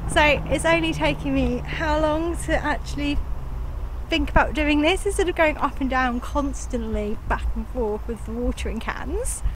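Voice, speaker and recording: A woman's voice: high-pitched, moderate pace, rough recording. A woman in her thirties with a southern English accent, chatting casually and enthusiastically in an informal vlog, recorded outdoors on a handheld camera. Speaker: gardening vlogger. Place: outdoors on an allotment, partly in a shed. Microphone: handheld camera at arm's length. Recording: clear outdoor background noise.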